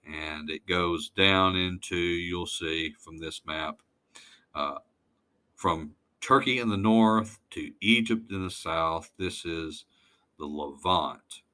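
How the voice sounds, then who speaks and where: A man lecturing informally over slides, halting and deliberate, putting weight on key words. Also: deep voice, bright, forceful tone, clean tone.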